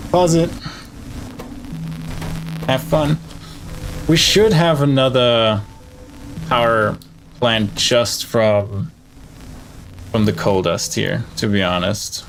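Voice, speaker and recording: A man's voice: medium pitch, drawn-out words, long pauses, a little hiss or hum, poor audio. A man giving casual live gameplay commentary, thinking aloud as he plays. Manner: thinking aloud while playing. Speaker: game streamer.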